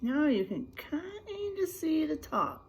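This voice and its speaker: Teacher lady reading a story to first graders, slow and super expressive. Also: high-pitched, slight background noise. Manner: animated, gentle, asks the children questions. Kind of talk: reading a picture book aloud to a class.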